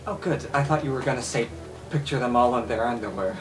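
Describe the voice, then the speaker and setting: A woman's voice: a bass voice, fast talker, over music. A woman player speaking in character at a tabletop game, nervous and flustered. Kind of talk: casual in-character roleplay.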